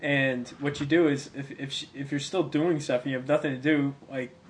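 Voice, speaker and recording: A man's voice: medium pitch, fairly even intonation, fairly quick, slight background noise. A man hosting a podcast, teasing in casual banter and laughing often. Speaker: podcast host.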